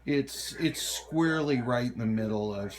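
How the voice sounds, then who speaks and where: A middle-aged man giving a casual, halting show-and-tell as a hobbyist, unhurried and keen on the details. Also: mid-range voice. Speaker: hobbyist presenter of a radio collection.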